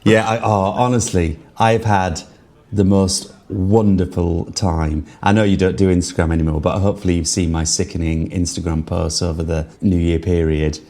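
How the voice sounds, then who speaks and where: Guy with a really low voice, excitable chatty podcast host. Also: moderate pace. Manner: enthusiastic, laughs often. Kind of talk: casual chat. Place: remote call from abroad.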